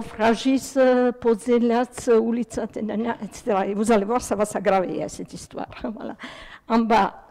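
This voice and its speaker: A woman's voice: medium pitch, dark tone. An older woman with a Serbo-Croatian accent, carefully reading a public talk as a presenter. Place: public hall.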